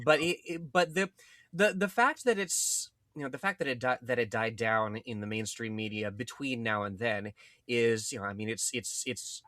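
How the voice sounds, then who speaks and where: A man co-hosting a political podcast discussion, speaking haltingly and often rephrasing as he goes. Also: mid-range voice, sharp tone, no echo, studio quality. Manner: halting, repeats and rephrases words. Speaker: podcast co-host.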